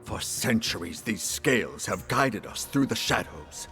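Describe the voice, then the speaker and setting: An actor playing a stern, haughty official of a hunters' order in a fantasy game, speaking formally and accusingly. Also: male voice, fairly low pitch, lively intonation, a little slow, bright, forceful tone, faint music behind, full character voice. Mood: stern indignation. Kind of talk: dramatic fantasy game acting.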